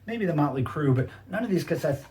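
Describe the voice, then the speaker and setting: A man's voice: a little high, expressive, quick pace, warm tone. A middle-aged man with an American accent talking casually and enthusiastically in a rambling show-and-tell as a collector hosting his own video. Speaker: cassette collector and video host. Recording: noisy home recording with clicks.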